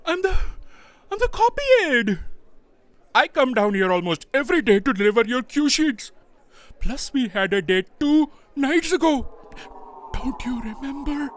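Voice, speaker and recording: An earnest, eager office aide acted by a woman, recorded close and dry. The pitch moves a lot, with the urgent push of an audio comedy. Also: very animated, a little slow, dry recording. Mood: urgent, flustered. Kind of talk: scripted audio comedy drama acting.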